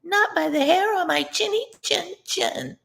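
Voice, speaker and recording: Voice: very high-pitched, unhurried, vocal fry, a bit bright, pitched above the performer's own voice, heavily acted. A storyteller voicing a small, cheeky male pig from a children's storybook, in a sing-song, defiant manner.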